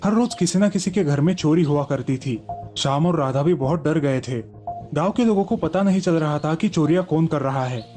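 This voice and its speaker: A man's voice: fairly high pitch, dark tone. A man narrating a scripted children's story as the storyteller.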